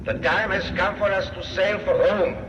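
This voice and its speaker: A man's voice: high-pitched, fairly even intonation, moderate pace. An actor in a British radio drama playing a German sea captain formally addressing his crew in a declamatory manner. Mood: resolute. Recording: distant echoing hall, dull and noisy.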